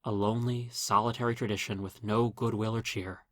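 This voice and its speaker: A man reading a scripted first-person horror story as narrator, in a dramatic, measured storytelling manner. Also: lowish voice, little pitch movement, fairly bright tone, dry recording, studio quality.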